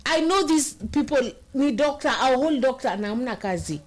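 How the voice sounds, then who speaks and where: A Kenyan woman co-hosting a casual live chat, speaking emphatically and quickly in mixed English and Swahili. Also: high-pitched, expressive, slight background noise. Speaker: co-host reading viewer comments.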